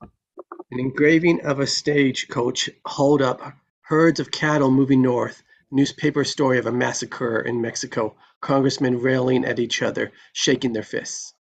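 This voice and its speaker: A man with a gravelly voice reading scene descriptions from a screenplay aloud at a live table read. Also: medium pitch, steady tone, moderate pace. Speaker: reader of stage directions at a table read.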